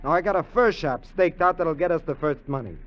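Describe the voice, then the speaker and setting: An actor playing an eager, scheming American burglar sidekick in a 1950s radio drama, talkative, slangy and wheedling. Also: male voice, fairly high pitch, fairly quick, faint music behind, lightly acted. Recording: dull vintage radio recording.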